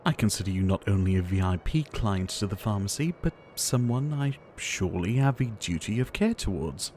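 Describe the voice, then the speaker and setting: A man playing a gentle, ailing pharmacist doctor in an ASMR roleplay, soft-spoken and theatrical, with courteous, wistful phrasing and sighs. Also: on the low side, animated, normal speed, slight background noise, close to an everyday voice. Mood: earnest, caring.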